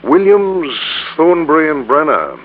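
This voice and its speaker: An actor playing an earnest, worried scientist in a 1950s radio drama, speaking with hesitant, stammering emphasis. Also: male voice, fairly high pitch, sing-song, measured pace. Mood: grave. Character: earnest, anxious male scientist, troubled and disbelieving. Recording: muffled old radio recording, faint noise.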